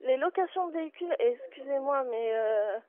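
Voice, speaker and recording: A woman's voice: sits high, expressive, normal speed, no room sound. A woman working as a bookshop clerk, answering a customer call in a polite but firm, patient way. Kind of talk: customer service phone call. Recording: tinny phone line.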